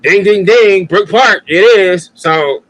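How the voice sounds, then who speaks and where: A high-pitched man from the Cleveland area talks sports on a casual podcast. He lays out his points in an easygoing way and agrees readily with the others. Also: slow pace, fairly bright tone, rough recording. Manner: explanatory, agreeable, laughs often. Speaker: podcast co-host.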